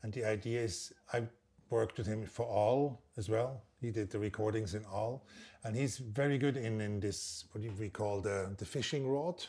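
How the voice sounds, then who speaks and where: A man's voice: lowish voice, normal speed, warm tone. A man in his sixties from Switzerland with a Swiss German accent, a native German speaker, explaining his work thoughtfully in short phrases in an interview. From Basel, Switzerland. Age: 60s. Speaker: interviewed composer and percussionist. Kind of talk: reflective interview conversation. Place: indoor room at a large wooden table under glazed roof.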